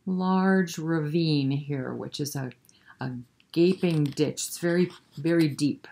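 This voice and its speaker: A woman reading a picture book aloud to children in an expressive way, pausing to explain and prompt her young listeners. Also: lowish voice. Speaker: children's storyteller at a library.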